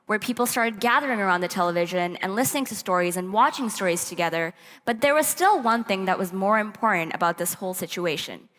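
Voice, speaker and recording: A woman from Pakistan delivers a prepared talk as a conference presenter. Her voice has an average female pitch. The sound carries the long reverb of a large hall. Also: a bit bright. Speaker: presenter at a youth tech summit.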